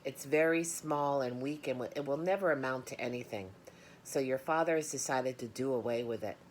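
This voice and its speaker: A woman's voice: a bass voice, brisk, slight background noise. Storybook farm mother, done by a woman narrator: composed, firm, practical, plainly explaining. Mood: matter-of-fact. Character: calm, matter-of-fact farm mother.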